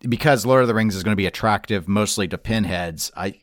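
A man's voice: on the low side, brisk, no echo, studio quality. A man co-hosting a podcast, giving opinions in casual chat with wry joking asides. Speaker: podcast co-host.

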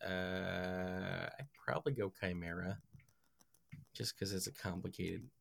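A man's voice: sits low, little pitch movement, a little slow, gravelly. A man co-hosting a podcast in casual chat, rambling, often stammering and restarting.

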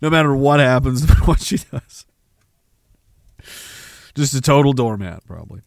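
A man's voice: mid-range voice, expressive, moderate pace, creaky, no echo, studio quality. A man hosting a livestream, reading chat comments and riffing on them sarcastically, often breaking into laughter. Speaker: livestream host.

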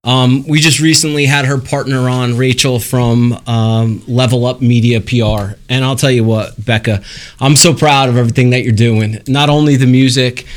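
Middle-aged American male co-host, medium pitch, informal chat with halting starts to questions. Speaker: radio show co-host. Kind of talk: casual interview chat.

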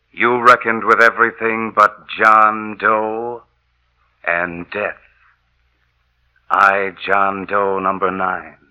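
A very deep, slow undead avenger. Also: male voice. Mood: grimly final. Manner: slow, ominous, deliberate, repeating himself. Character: vengeful walking dead man, cold and relentless. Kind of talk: 1940s radio horror acting. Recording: muffled old 1940s radio recording.

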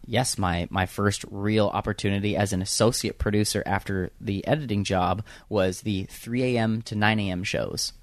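Young-sounding man, low-pitched, dry close sound, casual rambling talk, lots of fillers. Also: dry recording. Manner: rambling with many fillers and restarts. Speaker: guest, radio producer. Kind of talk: casual interview chat.

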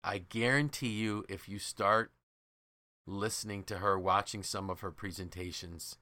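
A man with a fairly low, bright and punchy voice hosts a leadership podcast in a dry, close sound. He tells stories conversationally, with enthusiasm and emphasis, slipping into quoted dialogue as he goes. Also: fairly even intonation, unhurried, dry recording. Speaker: podcast host and leadership trainer.

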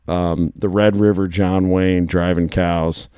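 A man hosting a casual podcast, his voice hoarse and worn, chatting and telling stories in quick bursts. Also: low-pitched, little pitch movement, dark tone, no room sound, studio quality. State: hoarse from shouting. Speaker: podcast host.

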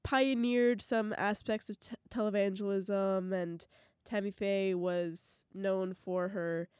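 A woman's voice: mid-range voice, fairly even intonation, slow, darkish tone, smooth, no room sound, clean studio sound. A young woman with a slightly stuffy, sick voice, co-hosting a casual podcast chat, chatty and giggly with frequent restarts. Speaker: podcast co-host.

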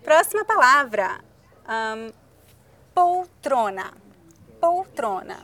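A Brazilian woman hosting a casual language chat, saying words slowly and clearly for learners. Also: on the high side, sing-song, pushed and bright. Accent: Brazilian Portuguese. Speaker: host.

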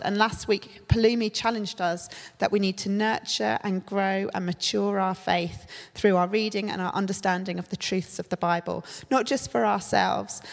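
A woman preaches to her congregation, her voice slightly croaky from a cold. She speaks in a relaxed, conversational way, pausing on little fillers. Her words ring out with a long reverb off the walls of a church hall. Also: medium pitch. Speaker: church leader. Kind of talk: sermon.

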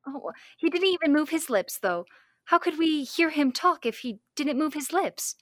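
A woman's voice: high-pitched, lively intonation, normal speed, fairly bright tone, no room sound. A young woman amateur playing a curious, admiring scientist's assistant in an old-time radio serial, inquisitive and wide-eyed. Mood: amazed. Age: young adult.

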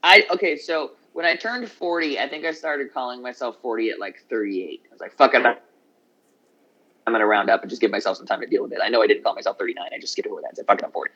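A man's voice: medium pitch, quick pace, bright, forceful tone. A man in his forties co-hosting a casual chat over a video call, telling stories loosely and swearing freely. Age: 40s. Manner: loose, profane storytelling. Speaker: podcast co-host. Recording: dull lo-fi call audio.

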